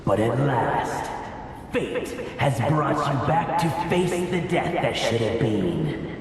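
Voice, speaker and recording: Slow, cavernous-voiced gloating villain of doom. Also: male voice, medium pitch, expressive, a little hiss or hum. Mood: sinister gloating. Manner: theatrical, mocking, drawn-out villainous delivery. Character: gloating, menacing male embodiment of death and fate. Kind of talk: dramatic video game villain acting. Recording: cavernous long reverb, distant, under music.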